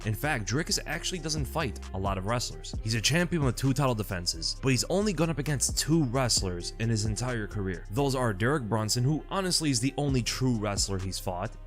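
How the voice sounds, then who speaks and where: A man's voice: medium pitch, lively intonation, a little fast, few pauses, faint music behind. A man breaking down an upcoming fight in a confident, matter-of-fact way. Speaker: MMA analyst.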